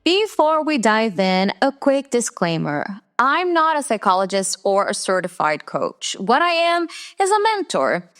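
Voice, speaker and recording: A Brazilian woman whose first language is Portuguese, speaking English with an Americanized accent as she hosts a solo podcast from her room in an earnest, deliberate, reflective way. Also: on the high side, a bit bright. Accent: Americanized English with Brazilian Portuguese roots. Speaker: podcast host. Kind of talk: scripted solo podcast monologue.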